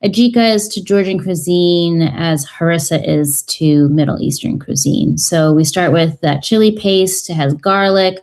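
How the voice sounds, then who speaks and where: A woman's voice: lowish voice, a little slow, few pauses, darkish tone. A woman in her thirties, a cookbook author guest on a radio show, talking in a thoughtful way with many ums and uhs. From United States. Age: 30s. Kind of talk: radio interview.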